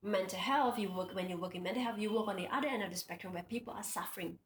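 A woman's voice: mid-range voice, very fast. A young woman with a Singaporean accent, talking casually as an interview guest, chatty and often restarting her sentences. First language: Indonesian. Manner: chatty, frequent restarts and laughter. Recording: echoey room with faint noise.

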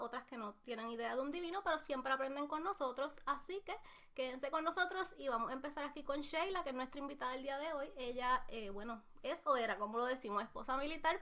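A woman's voice: high voice, pushed and bright. A woman co-hosting a podcast, chatting and explaining things to listeners. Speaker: podcast co-host.

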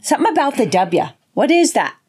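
Husky-voiced woman reading chat comments. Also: sits high, sing-song, brisk, darkish tone. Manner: reads viewer comments, chirpy interjections. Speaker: co-host. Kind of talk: casual livestream banter.

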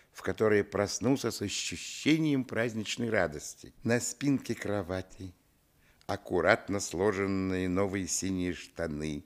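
An actor playing a grown man fondly recalling his village boyhood, narrating a literary radio play expressively. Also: low-pitched. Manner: expressive storytelling.

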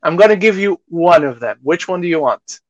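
A male podcast co-host with a fairly high voice, recorded close and dry. He talks in a casual, conversational manner, as if telling stories to a friend. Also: lively intonation, fairly quick, dry recording, dull audio. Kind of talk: casual movie chat.

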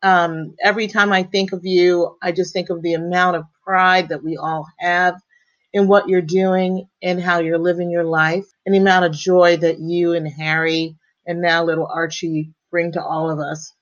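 A woman's voice: fairly low pitch, level tone, moderate pace. A woman from New Jersey giving a proud, emphatic fan message. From New Jersey, USA. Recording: dull lo-fi recording.

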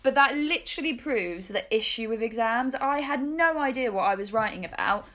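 A woman's voice: fairly high pitch, expressive, moderate pace, fairly bright tone. A woman of twenty-two with a British accent, hosting a podcast in an informal chatty way and often correcting herself. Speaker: podcast host. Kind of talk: casual chat.

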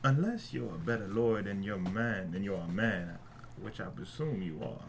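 Man acting a blunt, low-voiced defiant drifter dad. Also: normal speed, slight background noise, lightly acted. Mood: confrontational. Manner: blunt, confrontational. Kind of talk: screenplay table read acting.

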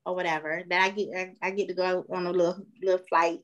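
A woman's voice: fairly low pitch, level tone, fast, muffled recording. Easygoing American woman in her forties. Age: 40s. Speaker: podcast co-host. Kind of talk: casual personal chat.

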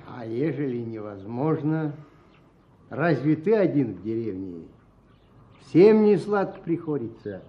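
A man's voice: mid-range voice, big pitch swings, unhurried. An actor playing a stolid, stubborn Russian peasant spokesman in a radio play, speaking bluntly in a folksy way. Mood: firm, resigned. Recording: dull old radio play recording.

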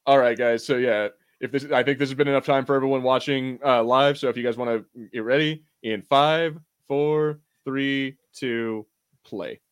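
A man with an average-pitched voice hosts a casual watch-party chat. He sounds enthusiastic and often halts or repeats himself as he comments. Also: fairly even intonation, normal speed, clean tone. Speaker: podcast host.

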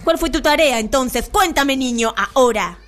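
High-voiced wicked kindergarten teacher. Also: female voice, fairly bright tone. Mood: impatient, scolding. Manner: mock-sweet, imperious, drawn-out exclamations. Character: gleefully wicked female kindergarten teacher, bossy and cackling. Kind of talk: comic radio theatre monologue and dialogue.

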